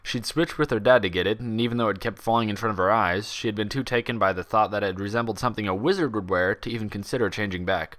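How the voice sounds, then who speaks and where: A man reading fan fiction as scripted story narration, with expressive, dramatic delivery. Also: lowish voice, fast talker, hardly any pauses.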